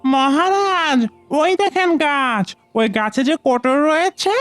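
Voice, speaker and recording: A woman's voice: sits high, takes it slowly, over loud music. A woman voicing a sly, scheming fox in a cartoon fable, with a wheedling, theatrical manner. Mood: flattering.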